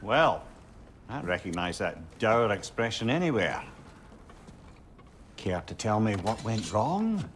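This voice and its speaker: An actor playing a wry, kindly older male companion in a video game, with an expressive, drawling delivery. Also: lowish voice, slow, long pauses, a little hiss or hum. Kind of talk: cinematic video game acting.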